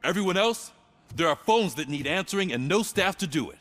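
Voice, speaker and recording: An actor playing an authoritative, defiant police captain giving orders in a video game. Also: male voice, high-pitched, animated, fairly quick, a bit bright. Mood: commanding. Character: commanding male police captain, rousing and defiant. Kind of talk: video game cutscene acting.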